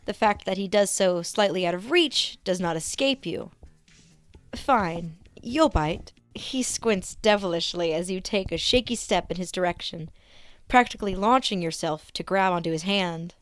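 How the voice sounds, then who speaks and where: A woman reading fan fiction aloud as an expressive narrator. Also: lowish voice, normal speed, dry recording, faint music behind.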